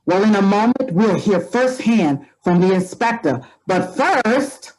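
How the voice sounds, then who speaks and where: A woman's voice: mid-range voice, animated, a little slow, a little mellow, low-quality recording. A woman announcing a radio mystery with a teasing, theatrical delivery. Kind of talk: old-time radio announcing.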